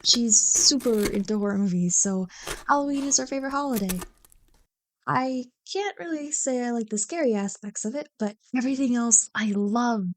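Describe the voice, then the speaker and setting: High-voiced, playful young woman friend, acted by a woman. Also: moderate pace, lightly acted. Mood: chatty. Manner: animated, bantering, mock-exasperated. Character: playful, teasing, Halloween-obsessed young woman, a childhood friend. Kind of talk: ASMR roleplay, one-sided scripted conversation.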